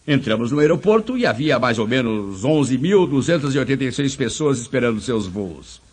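Husky, animated Brazilian motivational speaker, male. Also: medium pitch, moderate pace. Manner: emphatic, rhetorical questioning. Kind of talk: motivational speech with anecdotes.

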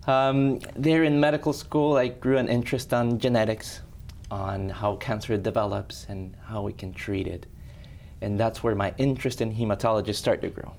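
A man's voice: on the low side, steady tone, moderate pace, a little mellow, slight background noise. A man from Ecuador, a hematologist, patiently explaining blood disorders as an interview guest in a friendly way.

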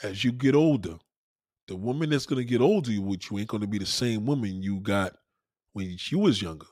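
A man's voice: on the low side, lively intonation, fairly quick, a little mellow, no room sound, clean studio sound. A man giving a blunt, profane opinion monologue in a casual, lecturing way, repeating points for emphasis. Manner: emphatic, repeats himself for stress.

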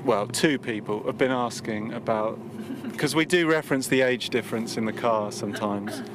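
A man's voice: medium pitch, normal speed. A man, a teacher chatting casually and teasing his co-hosts. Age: 30s. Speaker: podcast host, teacher. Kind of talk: casual chat. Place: inside a car. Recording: noisy car interior.

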